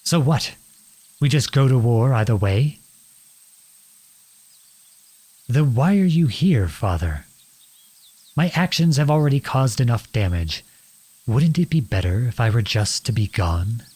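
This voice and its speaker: A man playing a defiant young outlawed prince in a dramatic audio roleplay, speaking earnestly and deliberately in a husky voice. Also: mid-range voice, sing-song, a little mellow. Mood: conflicted, disbelieving. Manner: earnest, deliberate, pleading and accusing. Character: defiant outlawed young prince confronting his cruel king father.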